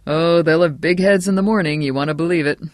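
Audiobook role: narrator as family man in charge, blunt and firm, mid-pitched. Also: fast, no room sound, studio quality, lightly acted. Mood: boisterous. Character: blunt father and host, commanding. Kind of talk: audiobook character acting.

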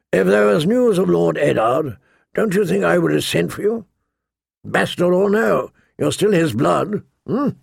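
A man voicing a gruff, grumbling old male military commander who sounds weary and disdainful. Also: sits high, expressive, unhurried, no room sound, studio quality, voice pushed up, full character voice.

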